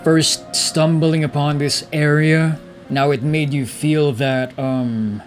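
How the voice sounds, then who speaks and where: A man's voice: fairly high pitch, fairly even intonation, slow, soft background music. A man giving casual gameplay commentary and reading story text aloud as he plays. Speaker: gaming streamer.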